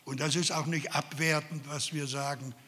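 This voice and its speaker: A man's voice: on the high side, little pitch movement, moderate pace, sharp tone, in a large hall. An older man preaching a sermon, earnest and emphatic, speaking directly to his listeners. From Carpathian Ukraine.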